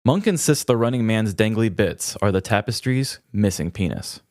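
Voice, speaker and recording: A man's voice: fairly low pitch, expressive, moderate pace, darkish tone, no room sound, studio quality. A young adult man co-hosting a podcast, chatting playfully and reading out bits of an article. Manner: playful, reads out article quotes. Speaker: podcast co-host. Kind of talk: casual comedic chat.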